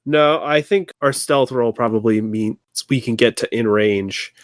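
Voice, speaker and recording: A man's voice: mid-range voice, a little slow, no echo. A man plays a tabletop game, talking casually in a mid-range voice. His manner is wry and jokey, and the sound is close and very dry.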